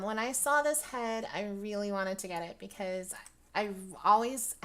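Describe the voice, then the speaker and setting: Raspy-voiced young woman rambling casually. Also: mid-range voice, fairly bright tone. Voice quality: raspy, dry voice. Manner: rambling, many ums, laughs often. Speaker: virtual-world fashion vlogger. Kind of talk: casual product review chat.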